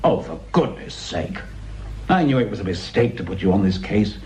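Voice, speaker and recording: An actor playing an exasperated, commanding British police superintendent in a radio drama, speaking brusquely. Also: male voice, fairly low pitch, big pitch swings, moderate pace, dark tone. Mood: irritated. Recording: dull radio recording with faint noise.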